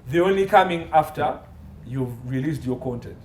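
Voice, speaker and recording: A man's voice: on the high side, lively intonation, normal speed. Ugandan man explaining in a roomy echo. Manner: emphatic, listing points. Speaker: entertainment and media law consultant, interview guest. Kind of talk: explanatory interview talk. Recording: roomy echo, faint background noise.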